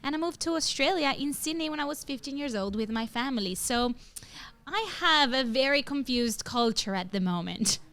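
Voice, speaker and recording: A young adult woman from northern Italy co-hosting a podcast in casual chat, telling animated stories and leaping into high voices for quotes. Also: normal speed. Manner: animated storyteller, jumps into high acted quotes. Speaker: podcast co-host.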